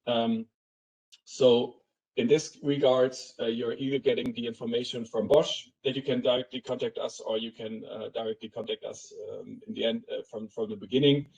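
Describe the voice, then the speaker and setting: A man presenting a software product in an explanatory way, hesitant and halting, with many ums and uhs. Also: medium pitch, steady tone, gravelly, poor audio.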